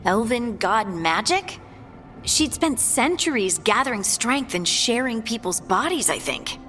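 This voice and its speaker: Matter-of-fact fantasy companion. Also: female voice, fairly high pitch, animated, measured pace, a bit bright, faint music behind. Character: candid, informed female companion in a fantasy party. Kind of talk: naturalistic game dialogue.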